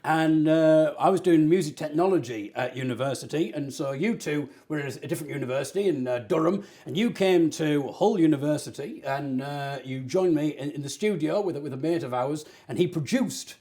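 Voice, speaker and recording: A man's voice: a little high, a little fast, few pauses, warm tone. A man, hosting a jokey online show and telling stories about his band while laughing at himself. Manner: self-mocking, laughing often. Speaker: host, folk singer. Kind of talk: jokey livestream chat.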